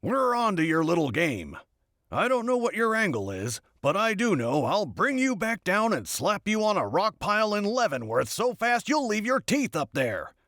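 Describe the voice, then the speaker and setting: A man voicing a gruff, short-tempered military general who barks curt threats in an audiobook story. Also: sits high, big pitch swings, moderate pace, a bit bright, dry recording, studio quality, pitched above the performer's own voice, exaggerated voice. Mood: angry, threatening. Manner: barking, curt, threatening, swearing. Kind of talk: scripted audiobook character dialogue.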